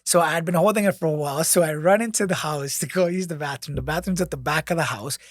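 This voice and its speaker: A man's voice: a little high, fast, dry recording. Young guy rambling through a story, lots of uh and restarts, dry close sound, swears casually. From born in New York, raised abroad by grandmother. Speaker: podcast co-host.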